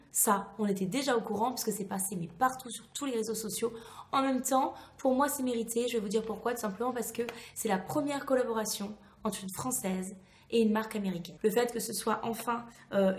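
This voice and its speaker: A woman with a hoarse voice recovering voice loss, chatting enthusiastically as she reviews a beauty product to camera. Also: a little high, darkish tone, slight background noise. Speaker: beauty vlogger. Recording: echoey, reverberant room.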